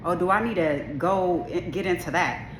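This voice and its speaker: A woman with a low, deep voice talks to camera in a casual monologue. Her delivery is strong, powerful and assertive, though she rambles and restarts often. The sound is roomy and echoey, with a faint hum of ambience behind her. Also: normal speed. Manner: rambling, with many restarts and asides. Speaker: video creator. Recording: echoey room.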